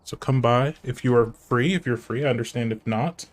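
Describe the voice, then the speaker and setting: A man's voice: mid-range voice, moderate pace. Nasal-voiced male streamer. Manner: rambling, talks to himself and greets chat. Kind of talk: casual live-stream chat while setting up.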